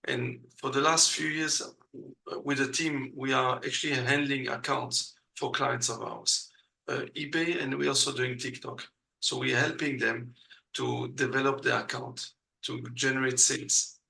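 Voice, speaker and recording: Gravelly-voiced Belgian man, hesitant. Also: medium pitch, flat delivery, measured pace, pushed and bright, rough recording. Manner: hesitant, many uh fillers, non-native grammar. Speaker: guest. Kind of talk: casual interview.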